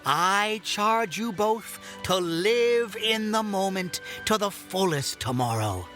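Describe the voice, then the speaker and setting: Whimsical ancient elf, giggly and courtly, unhurried and very animated, storybook fantasy. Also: medium pitch, faint music behind. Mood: merry, gleeful. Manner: giggly, theatrical, old-fashioned formal speech. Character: whimsical, merry old male elf of village legend. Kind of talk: audio drama fairy-tale acting.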